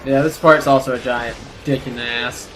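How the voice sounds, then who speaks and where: A man's voice: medium pitch, fairly even intonation, normal speed, fairly bright tone, faint music behind, low-quality recording. A young man chatting animatedly while gaming, profane and jumping from topic to topic. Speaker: let's play commentator. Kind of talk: casual gaming chat.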